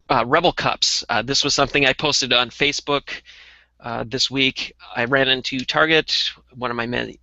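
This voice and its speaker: A man with a mid-pitched, bright and forceful voice talks casually as a podcast co-host. The sound is close and dry, though a little dull and lo-fi. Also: no room sound. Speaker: co-host of a toy collecting podcast. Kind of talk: casual chat. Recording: dull, muffled lo-fi audio.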